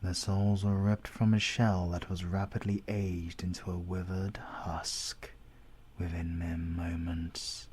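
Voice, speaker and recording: A British man playing a menacing, pompous male supervillain in an audio roleplay, with a breathy voice that often drops to a whisper. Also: low-pitched, little pitch movement, a little mellow, exaggerated voice. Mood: smug, threatening. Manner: slow, deliberate, pompous and threatening. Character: menacing, mysterious male supervillain who drains life force. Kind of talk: ASMR audio roleplay monologue.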